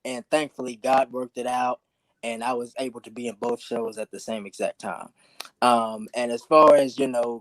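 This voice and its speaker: A man's voice: medium pitch, low-quality recording. A man speaking as a guest actor in casual, earnest conversation, telling things emphatically. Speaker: stage actor, show guest.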